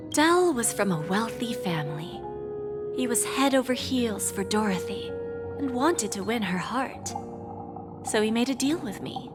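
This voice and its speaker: An actress playing a sly, composed dealer in desires in a video game, speaking in a measured, insinuating way and sinking into breathy near-whispers. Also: female voice, fairly high pitch. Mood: knowing, sly. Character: sly, composed adult female pawnbroker dealing in desires. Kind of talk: scripted game dialogue, storytelling monologue. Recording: music behind the voice.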